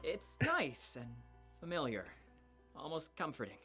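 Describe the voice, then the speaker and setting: A man's voice: on the high side, big pitch swings, normal speed, a bit bright, soft background music. A man playing a friendly, upbeat teenage boy in a visual novel, easygoing and unbothered in manner. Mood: amused, unfazed.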